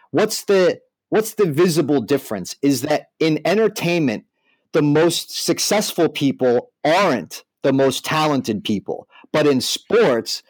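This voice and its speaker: A man's voice: fairly high pitch, no room sound, low-quality recording. A man co-hosting a podcast, explaining things conversationally and often checking that the listener follows. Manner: explanatory, checks in with "right?" and "you know". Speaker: podcast co-host. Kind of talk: casual discussion.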